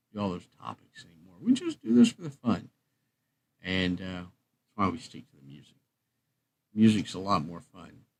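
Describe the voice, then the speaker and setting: A man's voice: fairly low pitch, a little mellow. A man hosting a music livestream, chatting casually in a rambling, meandering way.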